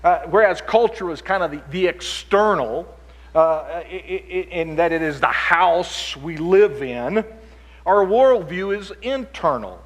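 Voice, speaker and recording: A man raised in Chile speaks from a conference stage with a fairly high, husky voice. He lectures steadily but often doubles back on his words. A long reverb from the hall trails behind him. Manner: stammers and repeats words as he restarts. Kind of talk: lecture-style conference talk.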